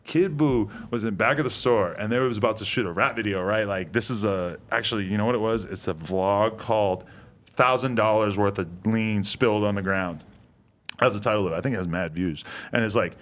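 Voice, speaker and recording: A young man with a fairly low voice chats as a podcast host. He talks loosely and rambles through his stories, with plenty of "like" and "uh". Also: fairly quick. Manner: loose, rambling storytelling with many fillers. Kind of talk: casual chat.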